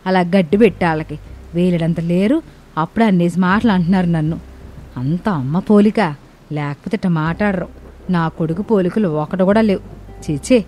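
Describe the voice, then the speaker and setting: A woman's voice: on the low side, normal speed, warm tone, pitched below the performer's own voice, lightly acted. A woman voicing a scolding, dramatic old grandmother who grumbles and complains in a family story. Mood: offended, grumbling.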